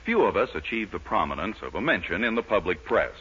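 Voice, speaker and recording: A man's voice: low-pitched, very animated, fast talker, loud music behind the voice. A man announcing a 1940s radio show, reading scripted copy with an emphatic, polished salesman's delivery. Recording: dull, lo-fi 1940s radio recording.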